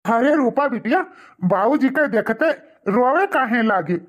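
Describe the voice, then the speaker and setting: A man's voice: extremely high pitch, animated, unhurried. A man voicing a humble, sentimental older father in a cartoon melodrama, resigned and sorrowful, with an Awadhi accent. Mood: affectionate. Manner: stammering when shaken, sentimental. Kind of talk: cartoon family melodrama acting.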